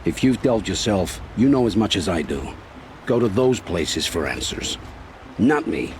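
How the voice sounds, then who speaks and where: A gruff, evasive scout. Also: male voice, low-pitched, animated, a little slow, a little mellow. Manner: gruff, dodging and dismissive. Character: guarded, evasive male scout, weary and curt. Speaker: outlander scout. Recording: game audio with ambient noise.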